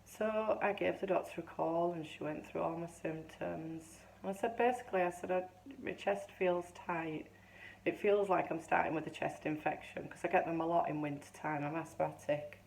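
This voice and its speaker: A woman in her fifties chatting casually to camera, rambling and restarting as she tells a story, her voice still a little hoarse. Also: fairly low pitch, steady tone, moderate pace, a little mellow, a little hiss or hum, low-quality recording. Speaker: vlogger.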